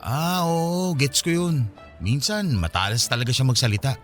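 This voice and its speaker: Animated young Filipino guy played by an actor. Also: medium pitch, normal speed, faint music behind. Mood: matter-of-fact. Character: young man, easygoing, unromantic, straightforward best friend. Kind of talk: Filipino radio drama acting.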